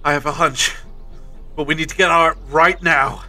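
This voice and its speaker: Guy acting commanding time traveller hero, audio drama, bright sharp voice, faint music behind. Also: on the high side, steady tone, moderate pace. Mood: urgent.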